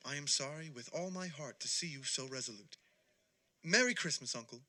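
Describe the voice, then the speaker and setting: An actor playing a cheerful, good-natured young nephew in an audio drama, hearty and earnest in manner. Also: male voice, fairly high pitch, fairly quick, bright, forceful tone. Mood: earnest, pleading.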